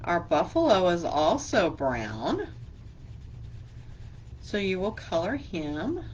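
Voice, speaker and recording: Slow, patient woman librarian for children. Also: fairly low pitch, huge pitch swings, darkish tone, dull audio, slight background noise. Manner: slow, patient, teacherly with simple questions to kids. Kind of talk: children's story time craft lesson.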